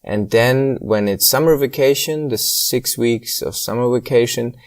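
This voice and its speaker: A man in his thirties from Switzerland, a circus acrobat being interviewed, speaking English with hesitant pauses and slightly phrasing. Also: mid-range voice. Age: 30s. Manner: hesitant, many ums, slightly non-native phrasing. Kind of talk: casual interview conversation.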